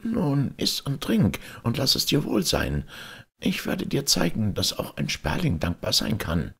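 A man's voice: mid-range voice, wildly swooping pitch, a little slow, gravelly, fairly bright tone, slightly rough, pitched above the performer's own voice, full character voice. Guy doing a thankful papa sparrow from a fairy tale, formal and gracious, raised voice. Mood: grateful, gracious. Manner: courteous, formal. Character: grateful father sparrow, gracious generous host.

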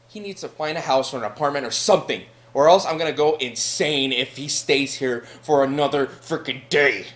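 A man's voice: medium pitch, close to an everyday voice. A man playing an irritable young roommate in a mockumentary comedy sketch, whiny, exasperated and emphatic.

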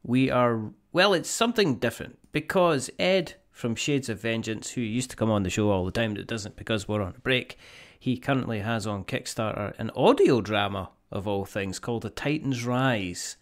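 A man's voice: medium pitch, moderate pace. A man casually introducing a drama on his podcast. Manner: chatty, rambling. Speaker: podcast host.

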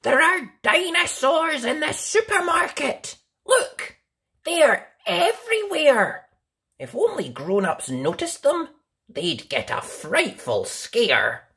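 A woman reading a children's story aloud in a theatrical, deliberate storytelling manner, as its host. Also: high-pitched, big pitch swings, a bit bright. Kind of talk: expressive children's read-aloud.

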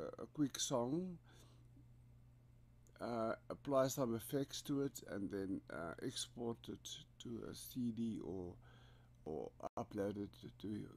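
A South African man with an Afrikaans accent, a composer walking through music software in a rambling, hesitant way with lots of fillers and restarts. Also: mid-range voice, gravelly. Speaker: composer giving a software tutorial. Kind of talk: casual screen-recorded software walkthrough. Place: home studio room.

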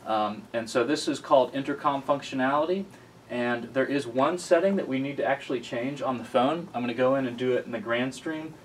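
A young man presenting a casual tech tutorial in an informal, halting way, often correcting himself. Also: medium pitch, slight background noise. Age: young adult. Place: small office cubicle indoors, then screen recording voice-over.

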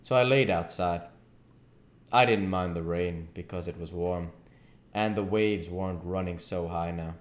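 A man in his thirties reading a classic novel aloud deliberately, with occasional stumbles. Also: low voice. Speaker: amateur audiobook reader. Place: living room at home, indoors. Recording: echoey home room recording.

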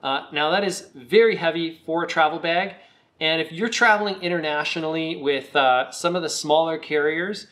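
A man with a slightly croaky voice, recovering from a cold, giving a relaxed, chatty product review on his video channel. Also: on the high side, moderate pace. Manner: relaxed, chatty, with some fillers.

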